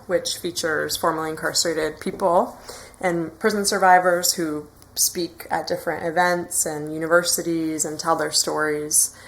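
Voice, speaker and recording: A woman's voice: low-pitched, a little hiss or hum, rough recording. A woman interview guest who volunteers as an organizer, explaining things step by step in an informal way.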